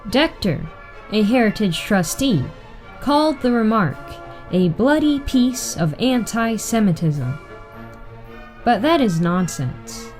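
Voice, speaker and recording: A woman reading a written political article aloud in a measured, deliberate way. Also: fairly high pitch, sing-song, darkish tone, faint music behind. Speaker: reader.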